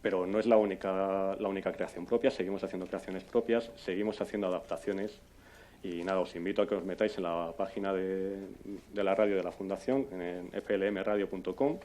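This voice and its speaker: A man's voice: low voice, fairly even intonation, brisk, dark tone. A man with an accent from Spain giving an informal conference talk, hesitant and conversational. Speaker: conference speaker, amateur audio drama scriptwriter. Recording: faint background noise, live room ambience.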